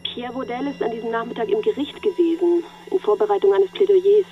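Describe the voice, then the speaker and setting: An actress playing an efficient police colleague who relays messages in a brisk, matter-of-fact way, in a radio crime drama. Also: female voice, a little high, very animated, lo-fi sound. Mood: businesslike.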